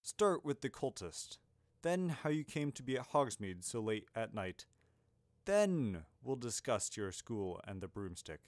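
A man's voice: mid-range voice, sing-song, dry recording. A man voicing a grandfatherly old wizard headmaster in an audiobook story, courteous, wordy and a little puzzled. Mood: puzzled, probing. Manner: genteel, wordy, halting over asides.

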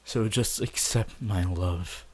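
Guy doing a young anime villain for asmr roleplay, super slow n low, possessive, gloating, menacing. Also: breathy edge. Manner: slow, menacing, swelling from whispers to shouts.

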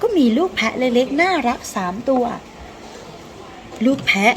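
Woman storyteller, high voice, dramatic scripted children's narration, deliberate delivery, noticeable background noise. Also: a bit bright.

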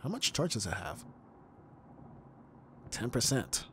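A man's voice: mid-range voice, very animated, slow, creaky, a little hiss or hum, heavily acted. A young man acting as an easygoing, teasing best friend in a casual, intimate ASMR roleplay. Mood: playful, teasing.

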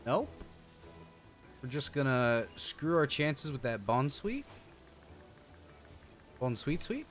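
Male game streamer, animated and slangy, thinking out loud, music underneath. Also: mid-range voice, takes it slowly. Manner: chatty, thinking aloud, slangy. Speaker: streamer, show host. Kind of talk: casual game stream commentary.

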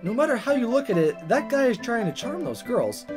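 A man's voice: very high-pitched, sing-song, fairly quick, voice pushed up. A man voicing an indignant, jealous teenage schoolboy in a visual novel, ranting in exasperation. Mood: jealous, exasperated. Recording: guitar music behind.

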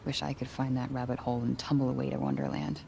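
A low-voiced young American woman with plenty of gravelly fry. She is streetwise, sardonic and self-mocking, talking to herself informally over clear background ambience. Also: little pitch movement, fast talker, mellow, slight background noise, full character voice. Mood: wry, weary. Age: young adult. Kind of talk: video game character acting, musing to herself.

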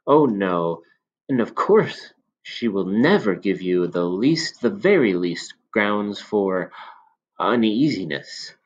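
A man voicing a sly elderly male potion seller who coaxes with smooth, insinuating persuasion. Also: on the low side, sing-song, takes it slowly, dull audio, full character voice. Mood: coaxing.

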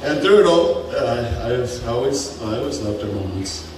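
A man's voice: medium pitch, expressive, moderate pace. A man in his thirties giving a jokey, swearing wedding toast into a handheld mic in a big tent, now and then getting choked up. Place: large open-sided wedding tent. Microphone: handheld mic over speakers, filmed by a far phone. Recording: echoey PA sound from far off, noisy.

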